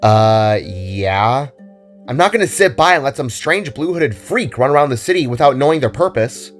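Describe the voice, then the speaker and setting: A man's voice: medium pitch, expressive, moderate pace, pitched above the performer's own voice, lightly acted. A man voicing a cocky, casual young girl hero in an audio story, with music behind. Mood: defiant.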